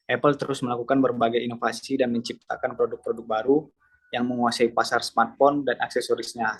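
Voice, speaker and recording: A young man from Medan leads his group's class presentation as moderator. His speech is formal and hesitant, full of "eh". His pitch barely moves as he reads the slides. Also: rough recording. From Medan, Indonesia. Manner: formal, filler-heavy, reads slides in an even voice. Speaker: student moderator.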